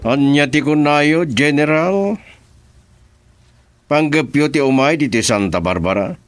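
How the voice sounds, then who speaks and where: Male actor, scheming boss, slow mocking delivery, lively swooping pitch, radio drama. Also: faint music behind. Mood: probing. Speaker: boss on a phone call.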